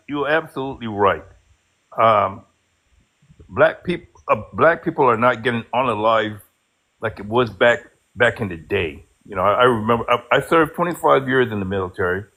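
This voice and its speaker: A man in his fifties from Barbados, a military veteran, telling a story casually in a halting way. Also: medium pitch, a bit bright, low-quality recording. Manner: halting, many fillers and restarts while telling a story.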